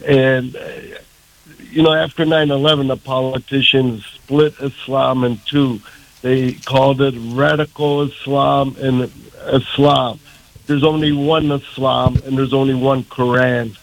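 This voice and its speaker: A man's voice: medium pitch, little pitch movement, measured pace, poor audio. An American man in his sixties or older, from Michigan, giving a personal testimony conversationally as a radio interview guest, plain-spoken and earnest. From Michigan, United States. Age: 60s or older.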